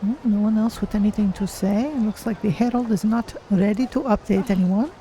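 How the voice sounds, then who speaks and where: Woman as a mellow, curious cat-person adventurer. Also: medium pitch, fairly quick, a little hiss or hum, close to an everyday voice, poor audio. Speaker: game streamer. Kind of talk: in-character gameplay commentary.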